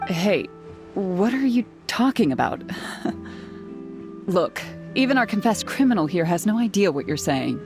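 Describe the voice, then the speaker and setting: Brisk game investigator, very animated intonation, defensive edge, faint music underneath. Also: female voice, medium pitch. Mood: dismissive. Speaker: investigator character. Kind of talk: video game story voice acting.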